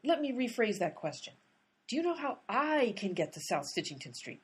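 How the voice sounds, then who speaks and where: An actress in a radio comedy playing an exasperated female amateur sleuth who talks in a brisk, bossy way. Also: fairly high pitch, sing-song, very noisy. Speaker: lead character, amateur sleuth. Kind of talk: comic radio play acting.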